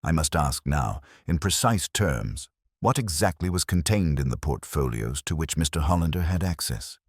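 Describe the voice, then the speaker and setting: A man acts out a cool, probing male detective in a storybook, with a very deep voice. His formal, exacting speech swings noticeably in pitch, every question placed with deliberate precision. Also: animated, husky, no room sound, studio quality, exaggerated voice. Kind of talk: audiobook character reading.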